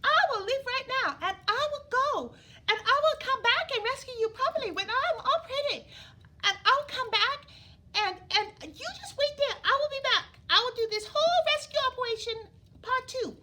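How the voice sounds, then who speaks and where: A woman's voice: very high voice, very animated, fast, bright, forceful tone, slight background noise, voice pushed up, full character voice. A woman voicing a cheerful, determined young princess who talks eagerly. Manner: eager, chirpy, breathless lists.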